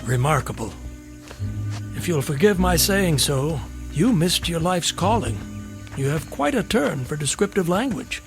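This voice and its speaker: A man's voice: a little high, sing-song, unhurried. An actor playing an enigmatic traveling stranger, courteous, sly and philosophical as he probes with questions in an audio drama. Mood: wry, courteous. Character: enigmatic, wry, probing traveling stranger, man. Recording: music under the voice. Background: music.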